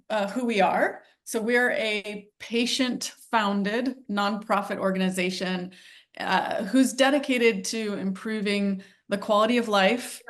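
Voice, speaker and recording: Woman, webinar host, slow careful delivery, fillers, mid pitch. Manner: slow, measured with fillers and self-corrections. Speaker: nonprofit host introducing webinar. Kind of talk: scripted welcome remarks.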